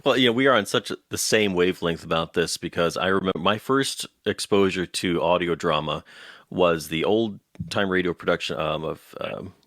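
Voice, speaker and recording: Male interview host, deep voice, conversational, close dry recording. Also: normal speed, a bit bright, dry recording.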